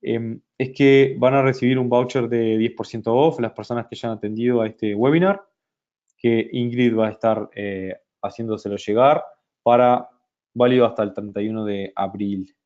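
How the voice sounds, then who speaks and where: A man presenting a software product in a webinar, talking slowly with many fillers and restarts. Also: medium pitch, fairly even intonation, dull audio. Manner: hesitant, many fillers and restarts, speaks slowly in Spanish for a Brazilian audience.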